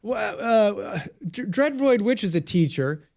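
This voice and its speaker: A man's voice: sits high, animated, slow pace, gravelly, a little mellow. Chatty American man streaming a game. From United States. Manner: chatty, talks to chat and answers comments. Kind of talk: casual live-stream chat while gaming.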